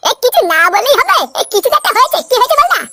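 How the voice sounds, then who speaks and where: A performer voicing a cheeky cartoon comedy character with an exaggerated, squeaky pitched-up voice. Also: very animated, rapid-fire, heavily acted. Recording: loud background noise behind processed voice.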